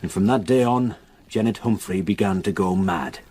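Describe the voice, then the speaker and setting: An actor playing a grave, reluctant local countryman in a radio drama, with a solemn, halting way of telling a dark story. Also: fairly low pitch, slightly rough. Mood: haunted, ominous.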